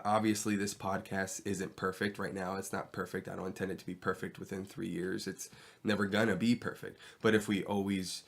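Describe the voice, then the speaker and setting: A man's voice: deep voice, fairly bright tone. A man hosting his first home podcast, talking candidly and rambling with plenty of ums, his voice stuffy and nasal from a cold, recorded in a bedroom on a cheap mic. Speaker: podcast host. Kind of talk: casual solo podcast monologue. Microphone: inexpensive mic into a laptop.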